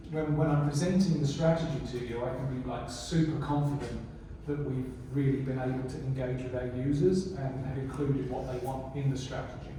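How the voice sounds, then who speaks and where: A man giving a conference presentation on a library strategy in a relaxed, conversational way with many restarts. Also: medium pitch. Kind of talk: conference presentation with audience questions. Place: conference room. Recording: echoey room, mic far off, faint noise.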